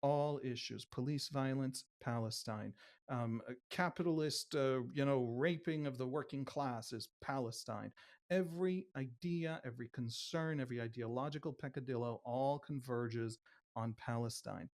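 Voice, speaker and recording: Mid-pitched male guest with a Wisconsin accent; fluent, impassioned analyst who stacks lists and repeats for emphasis. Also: moderate pace, darkish tone. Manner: fluent, building lists and repetition.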